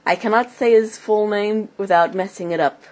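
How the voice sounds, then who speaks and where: A woman with a cold and a speech impediment, giving a casual home tour in halting, choppy phrases. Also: medium pitch, a little mellow. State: has a cold, clearing throat. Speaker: amateur video blogger and hobby writer. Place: small home computer room. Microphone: handheld camera held by the speaker.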